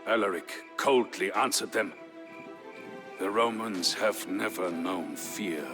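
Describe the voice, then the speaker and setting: A deep, grave Gothic warrior chieftain. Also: male voice. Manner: solemn, measured, theatrical storytelling. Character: grave Visigothic warrior chieftain, the dead king's brother-in-law, recounting history. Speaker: story narrator. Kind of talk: dramatic scripted narration for a strategy game. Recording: narration over prominent music.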